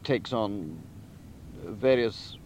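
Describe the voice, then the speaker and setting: A man giving a deliberate, emphatic and articulate spoken summary of a story, like a novelist outlining a book. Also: lowish voice, expressive, darkish tone, muffled recording, a little hiss or hum. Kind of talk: spoken plot summary, literary talk.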